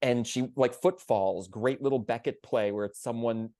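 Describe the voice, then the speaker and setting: A man's voice: medium pitch, normal speed, dark tone, no room sound, clean studio sound. A man talking conversationally on a theater panel, earnest and emphatic, circling around his phrasing.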